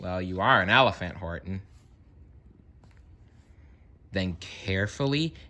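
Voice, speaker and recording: A man reading a children's story aloud as an expressive storyteller, theatrical and deliberate, with playful asides. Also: low-pitched.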